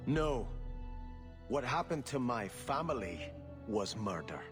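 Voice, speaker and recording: A man's voice: mid-range voice, sing-song, slow, over music. An actor voicing a righteous, grieving, vengeful nobleman in a video game, solemn and emphatic. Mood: righteous anger. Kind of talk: video game character dialogue.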